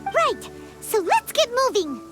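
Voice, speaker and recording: A woman voicing a tiny, excitable, childlike companion creature in a video game, squeaky, blunt and exclamatory. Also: unhurried, loud music behind the voice, heavily acted. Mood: surprised, eager. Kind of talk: video game character dialogue.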